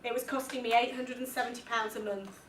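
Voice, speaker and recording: A woman's voice: on the high side, fairly even intonation, quick pace, fairly bright tone, natural voice. A woman playing a debtor who tells a court about money troubles, in a training film. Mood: matter-of-fact recounting. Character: Joe, young debtor telling a money-troubles story in court. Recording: echoey, distant, noisy room.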